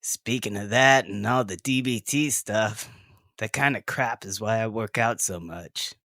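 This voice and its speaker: Man playing a low-voiced tough teenage half-orc girl. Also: a little slow, bright, forceful tone, no echo, studio quality. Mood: guarded, musing. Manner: slangy, blunt, guarded teenage bluntness. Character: tough, gruff sixteen-year-old half-orc girl fighter. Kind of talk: audio drama dialogue.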